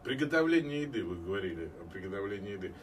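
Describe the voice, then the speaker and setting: A middle-aged man hosting a radio interview, asking brisk questions and interjecting with jokey asides. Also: lowish voice, sing-song. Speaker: radio host. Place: small radio studio. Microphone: headset and studio mic, captured by far camera. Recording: distant camera audio with background noise.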